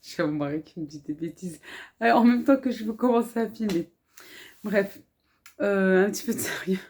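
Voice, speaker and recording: A woman from France with a slight accent from speaking Arabic at home, chatting softly and earnestly in a hushed voice at home, with many fillers. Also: medium pitch, normal speed. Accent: French with a slight Arabic-influenced lilt. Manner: speaks softly, hushed so as not to wake children. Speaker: family vlogger. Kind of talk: casual haul vlog. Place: home kitchen, indoors.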